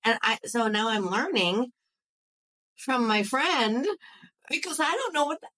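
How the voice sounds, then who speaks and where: A woman's voice: on the high side, measured pace, fairly bright tone, poor audio. A young adult woman hosting a podcast, chatting enthusiastically and often saying "like". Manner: enthusiastic, chatty, uses "like" a lot. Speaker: podcast host. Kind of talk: casual interview chat.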